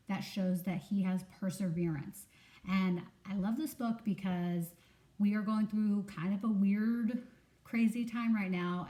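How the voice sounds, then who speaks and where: A woman teacher reading a children's story aloud, enunciating expressively and pausing to prompt listeners with questions. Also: mid-range voice, warm tone.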